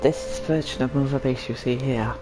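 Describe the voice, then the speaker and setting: A man with a hoarse, phlegmy voice from a cold, chatting loosely and apologetically as he starts a new game. His pitch sits mid-range, and he clears his throat often. Video game music plays clearly behind him. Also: steady tone, normal speed, poor audio. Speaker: gaming channel host. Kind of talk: casual gameplay commentary.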